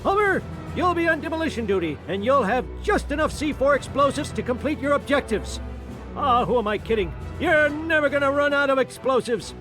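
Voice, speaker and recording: A man's voice: very high voice, expressive, a little fast, music in the background. An actor playing a crotchety old grandfather giving wartime orders in a cartoon game cutscene. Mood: barking orders. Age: older. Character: cranky old grandpa barking war orders.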